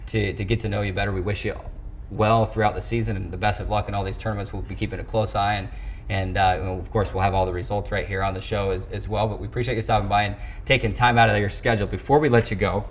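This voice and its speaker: A man hosting a sports show, speaking in a somewhat halting way with repeated words. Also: fairly low pitch, level tone, a little hiss or hum. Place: studio set.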